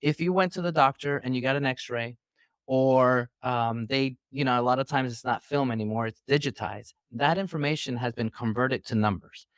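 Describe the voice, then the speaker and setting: A man's voice: mid-range voice, normal speed, clear, resonant tone, no echo. A man from New York City, a physician guest, explaining at length in an earnest, teacherly way over a video call. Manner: earnest, teacherly, long-winded. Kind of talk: explaining interview answers. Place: indoors.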